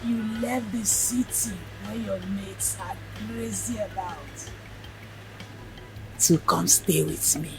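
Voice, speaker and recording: An actress playing a frail elderly grandmother who gives slow, solemn blessings. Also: medium pitch, over music, natural voice, low-quality recording. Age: older.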